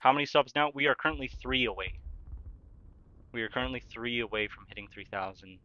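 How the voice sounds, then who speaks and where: A man's voice: medium pitch, a little fast, bright, forceful tone, no echo, lo-fi sound. A young man livestreaming a game, chatting casually, reading out chat questions and answering them while he talks through his play. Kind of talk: casual live-stream chat while gaming.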